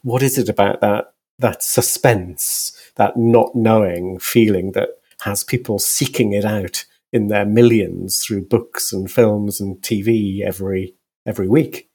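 A man interviewing a guest in a conversational way, haltingly rephrasing his questions. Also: lowish voice, steady tone, dark tone, husky. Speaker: podcast interviewer.

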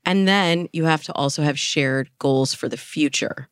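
A woman with a low voice gives advice as an interview guest. She explains things conversationally and illustrates them with little examples. The sound is dry and close. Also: normal speed, clean tone, no room sound. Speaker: dating coach, interview guest.

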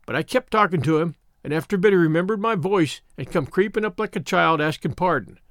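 A man's voice: sits high, fast, fairly bright tone, no echo, studio quality. A man voicing a plain-spoken country shepherd in a story, earnest and cautioning, with rustic phrasing. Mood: earnest, troubled.

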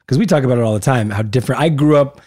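Fast-talking chatty male host. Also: mid-range voice, a little mellow, no echo, clean studio sound. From Los Angeles. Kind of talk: conversational interview.

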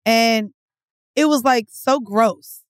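A woman's voice: on the high side, takes it slowly, clear, resonant tone, dry recording, clean studio sound. A woman co-hosting a podcast in casual chat, crude and joking, often laughing.

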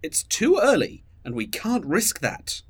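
An actor playing a stressed, controlling, pragmatic leader in an audio drama, with a husky voice. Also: mid-range voice, huge pitch swings, unhurried, full character voice. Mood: angry, insistent.

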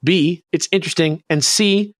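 A man's voice: a little high, moderate pace, no echo, studio quality. A man hosting a podcast, explaining things in a casual, rambling, conversational way. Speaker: podcast host presenting a researched topic.

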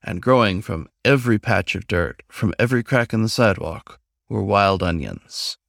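A man's voice: fairly low pitch, animated, moderate pace, creaky, dry recording, studio quality. A man narrating a scripted short story as its author. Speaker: author reading own story.

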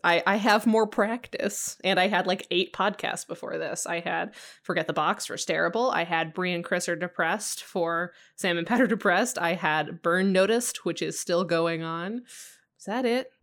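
A woman co-hosting a podcast in casual chat, quick and joking, with a deeper voice. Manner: quick, joking, animated with laughs. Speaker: podcast co-host.

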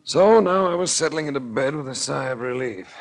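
A man's voice: medium pitch, animated, a little fast. An actor playing a wry, world-weary male investigator in a 1940s radio drama, speaking in a dry, sardonic, unruffled way. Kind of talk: 1940s radio drama acting and first-person narration. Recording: noisy old 1940s radio recording.

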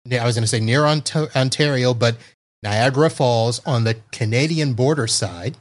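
A man hosting a podcast from Florida, talking over a video call in an easy, teasing way. He jokes with his guest and laughs a lot, at a middle pitch. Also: normal speed. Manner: joking, laughs often. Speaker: podcast host. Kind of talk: casual interview chat.

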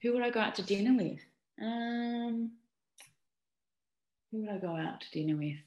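A middle-aged Australian woman from Newcastle, a former basketball player, answering interview questions in a chatty, animated way with lots of ums and restarts. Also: a little high, moderate pace, muffled recording. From Newcastle, New South Wales, Australia. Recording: echoey room.

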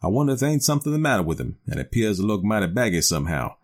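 A man's voice: on the low side, big pitch swings, very fast. A man voicing a boastful, easygoing frontier gambler in a storybook tale, with careless, colloquial talk. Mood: baffled.

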